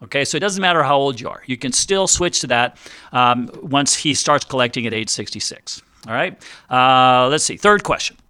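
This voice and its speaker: A man's voice: mid-range voice, animated, normal speed, bright, forceful tone, smooth, no room sound. A middle-aged man hosting a financial advice radio show, talking in an upbeat, chatty salesman style and joking along the way. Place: radio studio. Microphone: studio microphone.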